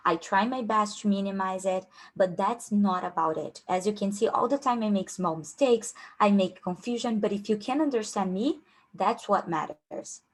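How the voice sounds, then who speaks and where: A woman's voice: medium pitch, normal speed. A young woman with a strong Brazilian Portuguese accent giving a casual online workshop on job interviews, with many fillers and restarts. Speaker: career coach.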